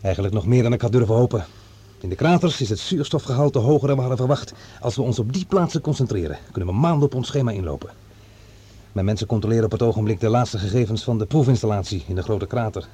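An actor playing an eager research doctor working on Mars in a Dutch science fiction radio drama. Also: male voice, mid-range voice, lively intonation, fast, warm tone, slightly rough. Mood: pleased, businesslike.

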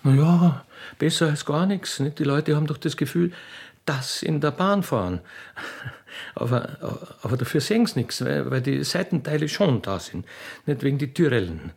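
A man voicing a grumpy, officious young country railway clerk girl with an Austrian accent, halting and cheeky, in a comic radio play. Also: on the high side, animated, gravelly, darkish tone, pitched above the performer's own voice. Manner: halting, stammering, pedantic, cheeky.

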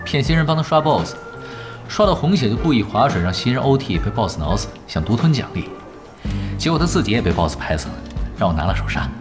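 An actor playing a laid-back, unflappable male gamer, calm and deadpan with dry wit, in an audio drama. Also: mid-range voice, fast talker, over music, natural voice. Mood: offhand. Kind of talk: Chinese audio drama acting.

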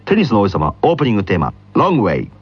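A male announcer reads a scripted radio ad quickly, with a lively rise and fall. Loud music runs under the slightly muffled recording. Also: fairly low pitch.

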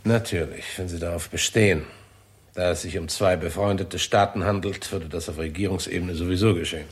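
An actor playing an authoritative American observatory director in a 1960s German radio drama, laying things out in a deliberate, orderly, official manner. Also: male voice, low-pitched, fairly even intonation, close to an everyday voice. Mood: official, firm.

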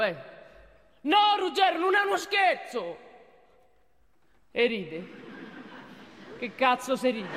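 A woman's voice: on the high side, fairly bright tone, long reverb tail. A woman playing an older male Roman security guard in a comic stage monologue, colloquial, pleading and exasperated, with a Roman accent.

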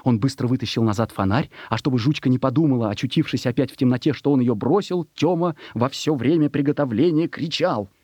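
A man narrating a children's story in an expressive, measured, suspenseful dramatic reading. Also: medium pitch, slightly rough, no room sound.

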